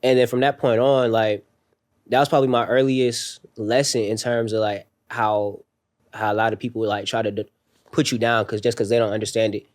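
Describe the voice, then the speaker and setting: A man's voice: lowish voice, flat delivery, fairly quick. A young man telling stories on a podcast in a laid-back, slangy way, with many fillers. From raised overseas in a military family. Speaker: podcast guest. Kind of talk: casual podcast conversation.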